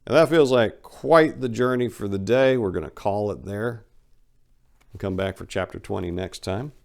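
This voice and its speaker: Lower-voiced man reading a memoir dramatically. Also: normal speed, vocal fry. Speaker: audiobook narrator.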